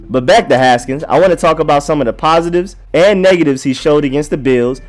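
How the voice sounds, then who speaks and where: A young man hosting a sports show, hoarse from a cold, talking in a slangy, chatty, emphatic way. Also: medium pitch. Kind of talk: casual sports commentary.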